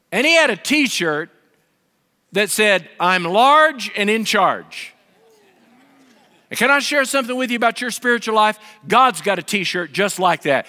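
Pastor, high bright voice, animated preaching style, reverberant hall. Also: male voice. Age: 50s. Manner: animated, gesturing preacher with wide swings in pitch and volume. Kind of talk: sermon. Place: large dark stage with a pulpit. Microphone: headset.

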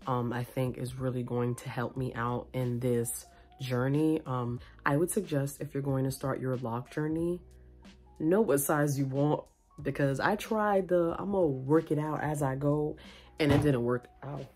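A young woman chats to her followers from a small room at home, recorded on a phone. Her voice sits very low with a husky edge, and she rambles playfully, clearly tired late at night. Faint music plays underneath. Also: steady tone, measured pace, a little mellow. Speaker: vlogger. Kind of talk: casual late-night vlog chat. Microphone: phone on tripod.

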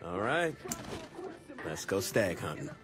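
Cool, dry gang boss. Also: male voice, low voice, very animated, slow pace, full character voice. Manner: dry, offhand, decisive. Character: cool, wry tough street gang boss.

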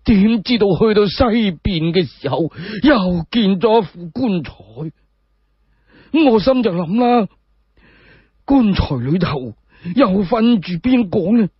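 A man voicing a frail, sorrowful old sage in Cantonese for a radio drama, drawn-out and halting. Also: sits high, animated, husky, no room sound, lo-fi sound, exaggerated voice. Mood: troubled, fearful. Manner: drawn-out, halting, repeating words.

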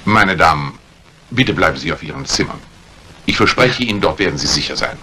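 An actor playing a brusque, authoritative police inspector in a 1950s German radio crime drama, questioning and giving orders deliberately. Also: male voice, deep voice, lively intonation. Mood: grave, determined. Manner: probing, commanding, deliberate. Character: brusque, authoritative middle-aged male police detective inspector. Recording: noisy vintage 1950s radio drama recording.

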